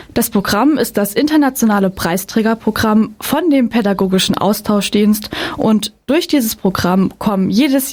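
A woman presents on a radio show and lays out the details in clear, orderly sentences. She speaks fluently and evenly, at a steady mid pitch. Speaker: student presenter. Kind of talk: radio program explanation.

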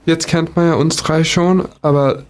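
A Swedish-accented man hosts a small radio show in German as a second language. His manner is relaxed and easygoing, with a few small stumbles. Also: fairly high pitch, unhurried, clean tone. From Sweden. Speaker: radio moderator. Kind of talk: casual radio intro. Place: local radio studio.

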